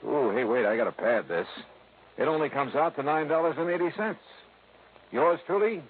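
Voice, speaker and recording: A man playing a brisk, confident, wisecracking insurance investigator in a 1950s radio drama. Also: fairly high pitch, lively intonation, lightly acted. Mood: wry narrating. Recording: tinny, noisy vintage radio recording.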